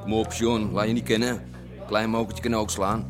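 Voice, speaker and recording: A man's voice: lowish voice, little pitch movement, moderate pace, lightly acted. Goading male underworld type , acted, over music. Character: mocking, goading card-table onlooker, smooth underworld type. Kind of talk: Dutch radio drama acting.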